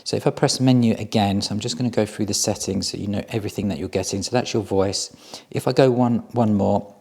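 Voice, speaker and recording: A man with a fairly low voice talks through a product demonstration. His delivery is casual and plain-spoken, explaining things step by step as a reviewer would. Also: fairly even intonation, fast talker, gravelly, darkish tone, breathy edge.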